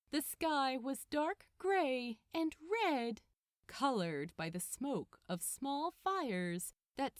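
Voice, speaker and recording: A woman reading fiction aloud as an expressive audiobook narrator. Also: a little high, dry recording, clean studio sound.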